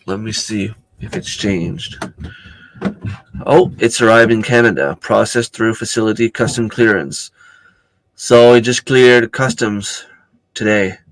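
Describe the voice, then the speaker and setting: Hoarse man chatting on a live stream. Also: fairly low pitch, fairly even intonation, unhurried, no echo, rough recording. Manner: rambling, chatty, greets viewers by name. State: losing his voice from a cold. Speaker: channel host. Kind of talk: casual live stream chat. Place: home.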